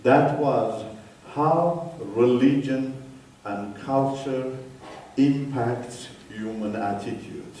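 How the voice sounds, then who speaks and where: A man's voice: medium pitch, mellow, far from the mic. Older man, echoey hall. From Iran. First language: Turkish. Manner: emphatic, in short halting phrases. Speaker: guest speaker. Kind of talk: personal testimony talk. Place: church hall.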